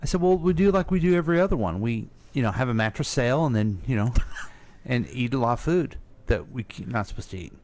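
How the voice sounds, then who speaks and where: A man's voice: medium pitch, lively intonation, fast, darkish tone, dry recording. American guy, mid-range voice, co-hosting a casual radio chat. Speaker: radio co-host.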